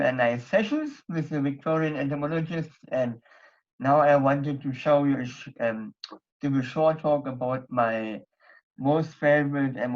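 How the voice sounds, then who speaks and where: A young man from Germany speaking English with a German accent, giving a hesitant online talk full of fillers and restarts. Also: fairly high pitch. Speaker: presenter at a society members night. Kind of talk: casual online talk with slides. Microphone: computer mic on a video call. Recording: dull lo-fi video call audio.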